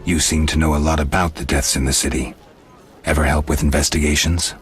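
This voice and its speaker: A stoic monster-hunting man from a fantasy game. His voice sits extremely low and gruff. He speaks in terse, deadpan, sardonic lines, with music underneath. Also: brisk, exaggerated voice. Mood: curt, probing. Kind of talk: video game voice acting.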